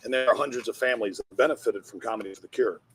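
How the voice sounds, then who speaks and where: A man giving a personal monologue in a husky voice, blunt and profane, pausing between phrases. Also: mid-range voice, fairly bright tone, a little hiss or hum, low-quality recording. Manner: blunt, profane, halting with pauses. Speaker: comedian and charity founder.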